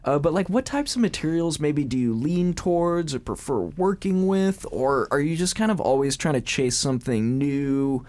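Male host, animated pitch, relaxed playful questioning. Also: normal speed, hardly any pauses. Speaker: interview host. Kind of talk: casual interview conversation.

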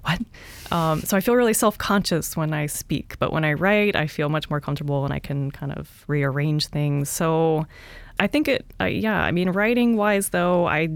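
A woman, an artist and teacher, talking softly and casually in an interview, with lots of fillers and small laughs. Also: deep voice, moderate pace, no echo. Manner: soft-spoken, chatty with many fillers and light laughs.

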